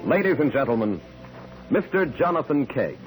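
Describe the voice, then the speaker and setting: A man's voice: mid-range voice, big pitch swings, normal speed, low-quality recording. A man giving a dramatic, portentous scripted introduction as a 1940s radio announcer. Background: music.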